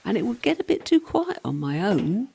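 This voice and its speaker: A woman's voice: medium pitch, very animated, normal speed, creaky, warm tone, breathy edge, heavily acted. An actress playing the ghost of a serving girl in a radio play, practical and teasing, with a dry, matter-of-fact manner. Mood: wry, matter-of-fact.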